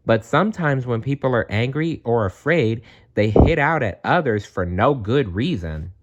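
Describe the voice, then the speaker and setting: A man voicing a kindly, gentle little magical bear in a storybook, reassuring and softly advising. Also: mid-range voice, expressive, normal speed, dull audio, pitched above the performer's own voice, lightly acted. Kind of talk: storybook read-aloud.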